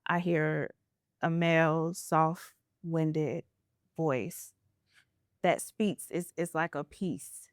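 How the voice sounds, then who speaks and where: A woman's voice: low-pitched, steady tone, extremely slow, dry recording, studio quality. A woman podcast host talking in an earnest, reflective way as she shares a spiritual story in a casual interview.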